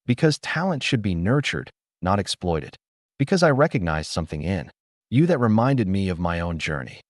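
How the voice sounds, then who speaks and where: A man reading the lines of a composed billionaire mentor who speaks calmly and with dignity. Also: sits low, normal speed, no room sound.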